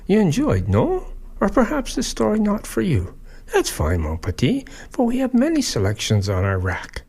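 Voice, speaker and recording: A woman's voice: medium pitch, huge pitch swings, moderate pace, a little mellow, breathy edge, full character voice. A woman playing a coy, sly French-flavoured shopkeeper who coaxes listeners toward stories in an audio drama. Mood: coy, coaxing. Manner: coaxing, theatrical, trailing questions. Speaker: story host. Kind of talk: audio drama host narration.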